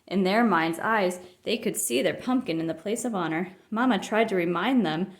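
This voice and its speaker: A woman reading a children's picture book aloud as an expressive storyteller. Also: mid-range voice, moderate pace. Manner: expressive, acts out dialogue.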